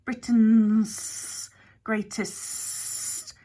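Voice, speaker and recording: Woman doing a sardonic male snake-like creature, slow hushed drawl, slightly higher. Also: little pitch movement. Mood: acid, muttering.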